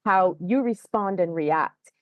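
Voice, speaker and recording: Woman podcast host, lowish voice, affirming and reflective, dry close mic. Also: slow pace, no room sound, lo-fi sound. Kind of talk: podcast conversation.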